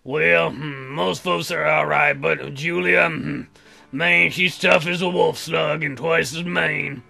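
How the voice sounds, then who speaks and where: A man voicing a folksy, awkward colonist librarian in a visual novel, talking in a slow, halting drawl full of hums and throat-clearing. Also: fairly high pitch, steady tone, bright, forceful tone, exaggerated voice. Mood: hesitant, rambling.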